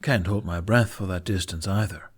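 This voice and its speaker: A man's voice: low voice, normal speed, husky. A man voicing a kind, protective and playful young male adventurer in an audiobook. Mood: concerned. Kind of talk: fan fiction audiobook character voice.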